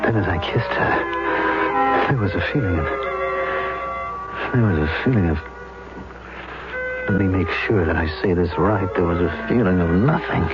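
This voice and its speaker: An actor in a vintage radio drama playing a boastful, unstable construction engineer, speaking and narrating with theatrical intensity. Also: male voice, low voice, a little slow, long pauses. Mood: brooding, intense. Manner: theatrical, emphatic, dramatic swings. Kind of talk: 1970s American radio drama acting with first-person narration. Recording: dull old radio recording, loud music.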